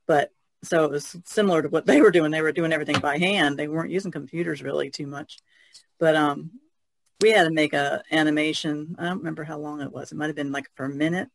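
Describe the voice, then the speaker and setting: Gravelly man in relaxed chat. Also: on the high side, fast talker. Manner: thoughtful, trailing off, laughs softly. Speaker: podcast guest artist. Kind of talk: casual discussion.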